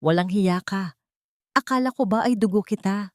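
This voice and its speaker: A woman's voice: mid-range voice, moderate pace, darkish tone, no room sound, clean studio sound, pitched above the performer's own voice, lightly acted. Woman voicing a bitter, enraged old patriarch. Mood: furious, bitter. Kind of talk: Tagalog audio drama storytelling.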